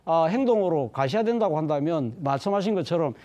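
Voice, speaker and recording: A man who is a professor, commenting on a TV panel, explaining in an animated way and often correcting himself mid-sentence. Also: a little high, fairly quick, highs cut off. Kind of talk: studio panel discussion. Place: TV studio.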